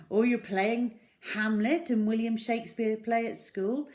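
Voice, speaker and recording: A woman reading a children's story aloud in an expressive, dramatic way, with deliberate pauses. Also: on the high side.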